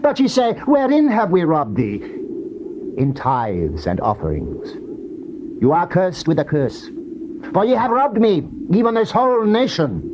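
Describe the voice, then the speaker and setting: An actor playing a stern God of Israel speaking judgment, in a solemn, declamatory dramatized scripture reading. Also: male voice, sits high, huge pitch swings, a little slow, exaggerated voice. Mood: accusing, urgent. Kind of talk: dramatized scripture reading, classical stage delivery. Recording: muffled, noisy old cassette tape.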